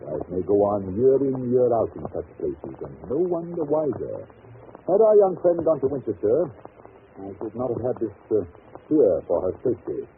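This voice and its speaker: A man playing a shrewd, authoritative British detective with deliberate, theatrical delivery, in an old-time radio drama. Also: medium pitch, huge pitch swings. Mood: ominous. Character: famous detective, grave, authoritative, shrewd, commanding. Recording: tinny, hissy vintage radio recording.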